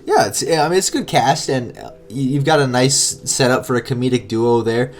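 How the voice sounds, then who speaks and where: A man co-hosts a podcast in an easy conversational way. His voice is nasal and congested, at an average male pitch. He chats casually, like someone talking with a friend. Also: animated, fairly quick, a little hiss or hum. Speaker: podcast co-host.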